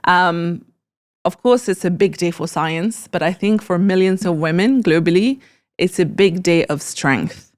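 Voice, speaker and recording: This is a woman with a voice a little lower than most. She speaks as a podcast host in a conversational interview. Her delivery is earnest and effusive. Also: unhurried.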